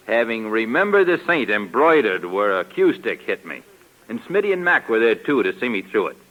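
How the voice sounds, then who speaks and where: Forceful, hard-boiled crime boss. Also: male voice, lowish voice, very animated, normal speed, highs cut off. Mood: wary, hard. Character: tough, vengeful crime boss. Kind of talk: 1950s radio crime acting. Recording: vintage 1950s radio recording.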